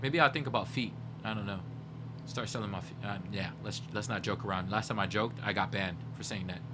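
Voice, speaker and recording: A young man livestreaming, chatting casually with his viewers and rambling as he brainstorms jokes out loud. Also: on the low side, fairly quick, noisy background. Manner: rambling, brainstorming jokes aloud, talking to chat. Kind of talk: casual chat with live viewers.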